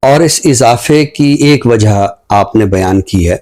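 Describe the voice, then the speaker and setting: A middle-aged man with a Pakistani accent gives reflective social commentary, explaining deliberately and pausing mid-sentence. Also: mid-range voice, expressive, darkish tone. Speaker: literary talk show commentator.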